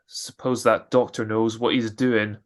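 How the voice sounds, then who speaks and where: A man's voice: on the low side, little pitch movement, a little slow, close to an everyday voice. A man playing a nervous, fretful expectant father with an anxious stammer in a radio drama. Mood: worried, guilty. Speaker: amateur radio drama actor.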